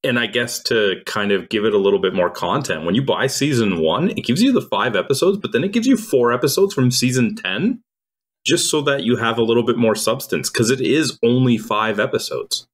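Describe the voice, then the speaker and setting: A man from Croatia co-hosts a podcast in an everyday mid-range voice. He talks in a measured, explanatory way, laying things out like a story. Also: mid-range voice, lively intonation. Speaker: podcast co-host. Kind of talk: casual podcast chat.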